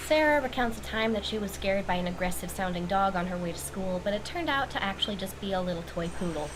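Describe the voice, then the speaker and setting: A woman narrates a recap as a critical commentator with a wry manner. Her pitch is middling, and there is clear background noise under her voice. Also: expressive, fast. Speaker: video commentator reviewing a cartoon.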